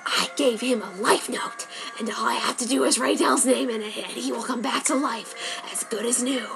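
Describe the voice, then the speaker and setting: Woman voicing a sly male death god, hushed and scheming, fairly high, faint music behind. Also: steady tone, normal speed, creaky. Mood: gloating.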